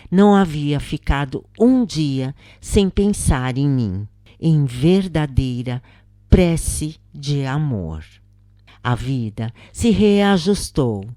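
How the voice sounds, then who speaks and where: Low-voiced Brazilian woman, slow solemn narration. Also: dark tone, no room sound, clean studio sound. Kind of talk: scripted narration of a spiritist account.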